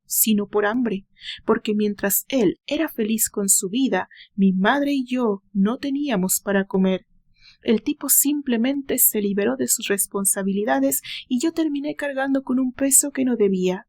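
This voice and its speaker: Woman voicing a plaintive, wounded young man, high-pitched. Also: moderate pace, darkish tone. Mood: bitter, hurt. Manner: plaintive, sorrowful. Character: wounded, resentful young man recalling his absent father. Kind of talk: dramatic audio novel monologue.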